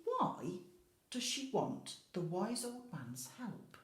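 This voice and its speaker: A woman's voice: mid-range voice, sing-song, takes it slowly, echoey room. A woman speaking as an infant school teacher, explaining simply and expressively to young children. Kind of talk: children's story reading and questions.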